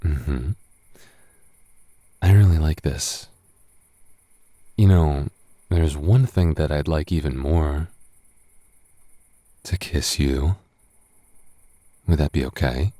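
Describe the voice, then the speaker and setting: Very deep-voiced flirty tipsy roommate played by a man. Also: slow pace, long pauses, dark tone, no room sound, full character voice. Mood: flirty, intimate.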